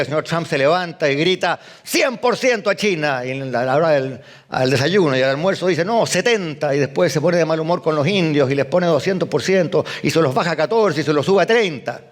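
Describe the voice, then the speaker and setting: An older man giving a conference keynote as an economist, emphatic, firing rhetorical questions and answering them at once. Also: a little high. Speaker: economist speaker. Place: event hall.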